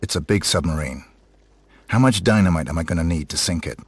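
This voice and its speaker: An actor playing a stoic hitman in a video game, speaking in a terse, deadpan manner. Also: low voice, brisk, husky, no room sound. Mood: cold, businesslike. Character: cold, stoic male professional assassin.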